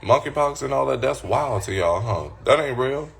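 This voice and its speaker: A man's voice: mid-range voice, expressive, normal speed, creaky, fairly bright tone. A man hosting a casual livestream, bluntly and sassily answering viewer comments from his phone. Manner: blunt, sassy, talks back to commenters. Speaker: livestream host.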